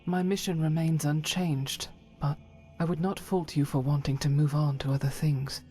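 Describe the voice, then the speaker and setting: Steadfast, gentle game knight, female actor: soft dark tone, measured and devout, faint music behind. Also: deep voice, steady tone, close to an everyday voice. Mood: earnest, subdued. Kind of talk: video game follower dialogue.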